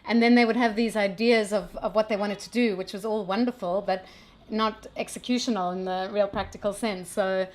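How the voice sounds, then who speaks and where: A woman's voice: medium pitch, fairly even intonation, moderate pace, hardly any pauses, a little mellow. A middle-aged woman from South Africa, a brand founder, talking through her technical background in a casual interview with frequent restarts.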